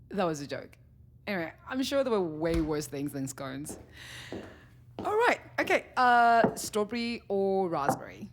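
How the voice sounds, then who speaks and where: A woman's voice: medium pitch, big pitch swings, slow pace, pauses often. A woman playing a curious, incredulous, wry young woman on a date in a naturalistic audio drama, reacting with surprise and dry asides. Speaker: lead actor in a fiction podcast.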